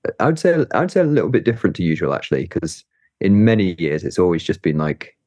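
A man's voice: low voice, very animated, quick pace, a little mellow, no echo. A man being interviewed as a guest, chatty and hesitant, with lots of fillers and easy laughs. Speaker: guest climber. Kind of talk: casual interview chat.

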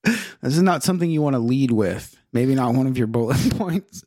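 A man's voice: mid-range voice, animated, normal speed, darkish tone, dry recording, clean studio sound. A man co-hosting a comedy podcast, dropping wisecracks and chuckly asides. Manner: wisecracking, chuckly asides, goofy put-on bits. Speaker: podcast co-host.

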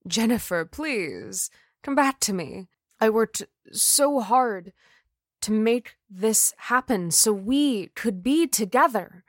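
Woman reading a deluded obsessive man, soft and imploring, slow, dry close read. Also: a little high, expressive, dry recording. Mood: pleading.

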